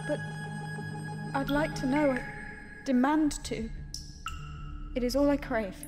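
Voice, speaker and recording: A woman playing a long-enslaved maid in an audio horror drama, speaking in an earnest, halting, dramatic way. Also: sits high, steady tone. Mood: plaintive questioning. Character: long-enslaved maid, plaintive, awakening to defiance. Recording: echoey voice under music. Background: dramatic music.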